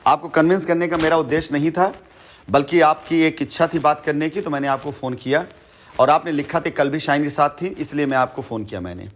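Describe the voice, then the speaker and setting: A man's voice: fairly high pitch, fairly quick, slight background noise. A man talking on a phone call in long, persuasive stretches, earnest and emphatic, often repeating himself. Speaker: company head addressing a customer by phone. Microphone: telephone.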